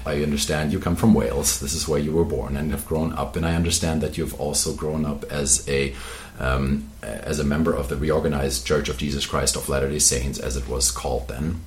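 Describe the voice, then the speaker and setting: A man's voice: a bass voice, fairly even intonation, moderate pace, roomy sound, a little hiss or hum. A man hosting an interview podcast, talking earnestly at length with many fillers. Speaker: podcast host.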